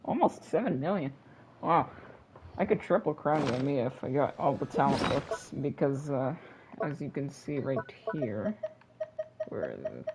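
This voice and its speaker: A man's voice: mid-range voice, noisy background. A young man streaming a video game with casual, chatty commentary.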